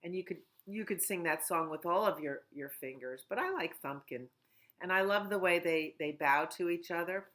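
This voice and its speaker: A middle-aged woman at home, a children's librarian talking gently and in a sing-song way during a storytime. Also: fairly low pitch, normal speed. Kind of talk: storytime reading and chat for children.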